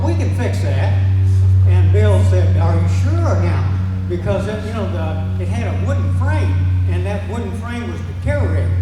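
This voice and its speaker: An older man from Oklahoma speaking at a museum ceremony, telling family stories in a rambling, halting way with many fillers and restarts. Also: high-pitched. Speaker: speaker at a ceremony, brother of the honoree. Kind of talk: casual anecdotal storytelling. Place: museum event hall. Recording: echoey hall, from far off, loud room noise.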